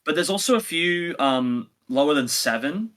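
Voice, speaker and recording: A man's voice: fairly high pitch, lively intonation, normal speed, a bit bright. A man co-hosting a review podcast, talking casually and conversationally as he gives his opinions.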